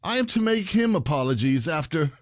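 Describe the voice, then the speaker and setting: A man's voice: fairly high pitch, big pitch swings, a little fast, no echo, clean studio sound. A man playing an aggrieved, stubborn common husband, indignant and grudging, in a classic comedy audio play.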